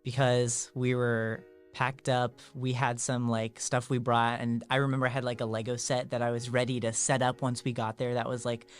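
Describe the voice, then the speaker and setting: Flat-voiced young man guest. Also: medium pitch, moderate pace, hardly any pauses, clear, resonant tone, soft background music. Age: 20s. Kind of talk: casual interview answers.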